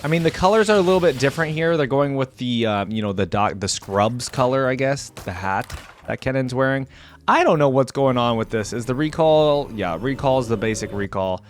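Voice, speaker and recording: A man's voice: medium pitch, animated, brisk, soft background music. A man hosting a reaction video, talking informally and thinking aloud in unscripted commentary. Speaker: YouTube reaction host.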